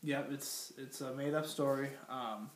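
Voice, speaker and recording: A man's voice: mid-range voice, measured pace, a little hiss or hum. Young male teacher with a head cold, reads aloud plainly, roomy classroom sound from a distance. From Minnesota. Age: young adult. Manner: plain teacherly reading, many fillers. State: has a cold. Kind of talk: classroom lesson and story read-aloud.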